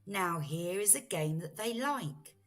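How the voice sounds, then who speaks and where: A woman voicing a breezy, mischievous cat in a children's storybook read-aloud, coaxing and reassuring with drawn-out words. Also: fairly low pitch, lively intonation.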